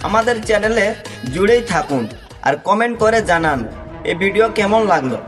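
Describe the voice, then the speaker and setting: A man giving a soft, upbeat sign-off for his channel. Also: high voice, lively intonation, fairly quick, music in the background. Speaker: channel host. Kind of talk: channel outro announcement.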